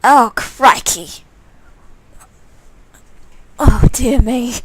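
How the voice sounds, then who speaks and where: A woman's voice: a little high, fairly even intonation, drawn-out words, dry recording. A woman narrating a visual novel live, reading aloud with casual, self-deprecating asides and correcting herself.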